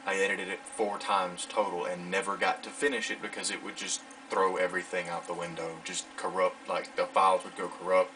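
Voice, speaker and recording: A man's voice: sits low, little pitch movement, a little fast, few pauses, bright, forceful tone, slight background noise. A man in his late teens chatting casually in a vlog, rambling in an informal, self-deprecating way. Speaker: vlogger. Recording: distant mic, clear music behind.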